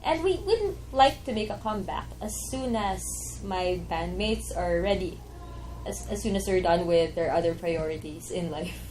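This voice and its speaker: A woman's voice: fairly low pitch, animated, moderate pace, darkish tone, slight background noise, low-quality recording. A woman guest chatting candidly and easily in a casual talk show conversation. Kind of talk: casual chat.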